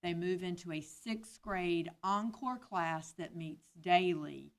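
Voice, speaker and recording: A woman's voice: lowish voice, slow pace. A woman at a school board meeting, an administrator, explaining school schedules in a practical, matter-of-fact way.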